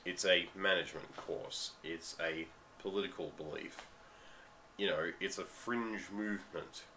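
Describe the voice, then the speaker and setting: An Australian man with a very low, bright, edgy voice delivers a ranting webcam commentary. He is getting over a cold and leans hard on stressed words, stretching them out. A faint hiss of room noise sits under his voice. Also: rough recording. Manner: ranting, emphatic, drawn-out stresses. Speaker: vlogger. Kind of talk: commentary monologue. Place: dim room at home, indoors.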